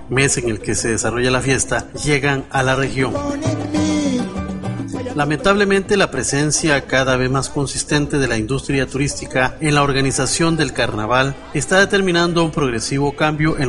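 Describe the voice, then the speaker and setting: Un hombre que lee una narración documental preparada para un programa de radio, con tono formal y pausado. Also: male voice, mid-range voice, fairly bright tone. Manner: formal, measured reading. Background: music.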